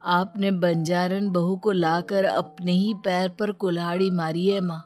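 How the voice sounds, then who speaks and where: A woman's voice: lowish voice, fairly even intonation, measured pace, darkish tone, close to an everyday voice. A woman voicing a resolute, wounded young stepson in a moral story. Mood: defiant.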